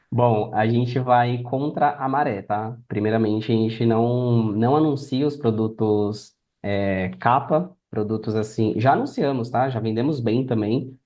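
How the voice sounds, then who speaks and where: A young Brazilian man with a mellow, relaxed tone and a medium-pitched voice. He talks as a podcast guest, explaining his work through personal anecdotes in everyday conversational speech. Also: measured pace, muffled recording. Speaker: podcast guest, affiliate marketer. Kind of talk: conversational interview answers.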